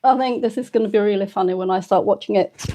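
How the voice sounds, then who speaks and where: A woman in her fifties hosting a casual live stream. She is emotional and a little tearful, and talks softly and hesitantly, with many pauses and fillers. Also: medium pitch. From United Kingdom. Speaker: channel host.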